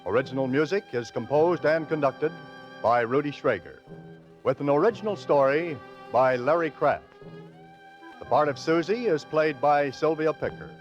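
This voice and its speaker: A man's voice: a little high, normal speed. A man announcing a program in dramatic 1940s radio style, on a vintage recording. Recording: dull vintage radio recording, loud music. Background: loud music.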